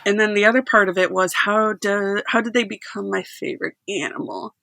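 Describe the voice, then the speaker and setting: A woman's voice: medium pitch, fairly even intonation, brisk, vocal fry, sharp tone, no echo. A woman who edits sound effects, chatting casually and animatedly with frequent laughter. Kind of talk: casual chat.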